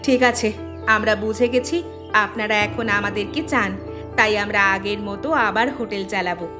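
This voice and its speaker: A woman's voice: medium pitch, steady tone, moderate pace, over music, natural voice. A woman voicing a young village daughter-in-law in a cartoon, forgiving and resolute, speaking in an earnest, emphatic way. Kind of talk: Bengali moral cartoon dialogue.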